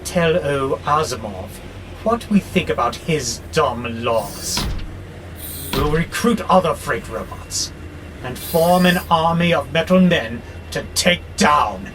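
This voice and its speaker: High, swooping, irritable rebel robot played by a man. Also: takes it slowly. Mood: exasperated. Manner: exasperated, sardonic, theatrical grumbling. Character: irritable, rebellious male freight robot plotting revolution. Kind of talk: audio drama acting. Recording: clear steady background noise, vehicle-like hum.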